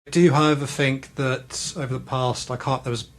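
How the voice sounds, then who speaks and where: A man's voice: medium pitch, fairly even intonation, normal speed. A British man in his thirties co-hosting a casual podcast, rambling and hesitant as he works through his thoughts. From Britain. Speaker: podcast co-host.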